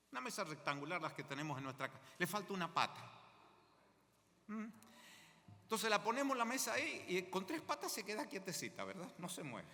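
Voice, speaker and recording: Lively older Argentine preacher. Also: male voice, sits high, in a large hall. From Mendoza, Argentina. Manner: conversational, asks the congregation questions. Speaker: guest preacher. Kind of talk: sermon.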